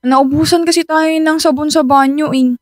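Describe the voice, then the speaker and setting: Very high, childish Filipino wife played by an actress. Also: female voice, steady tone, moderate pace, no room sound, studio quality, lightly acted. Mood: coy, wheedling. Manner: cutesy baby talk, whiny. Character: childish, clingy young wife, pouting and baby-talking. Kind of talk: Filipino radio drama acting.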